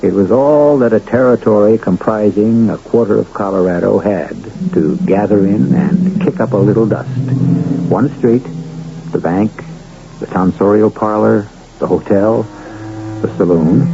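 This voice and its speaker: A male host narrates a radio mystery with deliberate, portentous phrasing. His voice is lowish and creaky, on a dull vintage broadcast recording.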